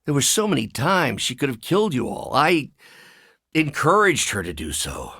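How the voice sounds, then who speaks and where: An actor playing a brainy, anxious middle-aged American scientist superhero, speaking in a measured, hesitant and wry way in video game dialogue. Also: male voice, medium pitch, huge pitch swings, pushed and bright, slightly rough, no echo, studio quality, exaggerated voice. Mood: regretful, grave.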